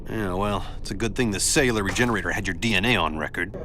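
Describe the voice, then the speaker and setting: An actor playing a serious, impatient futuristic hero in a video game, intense and commanding. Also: male voice, low-pitched, quick pace, noisy background, close to an everyday voice.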